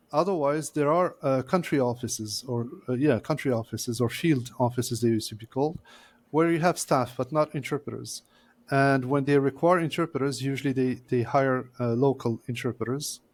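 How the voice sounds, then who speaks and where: A man's voice: medium pitch, darkish tone. Male guest, mid-range voice, patient and explanatory, hesitant fillers. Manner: measured, explaining step by step with frequent "uh" pauses. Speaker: court interpreter, guest. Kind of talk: explanatory interview answers.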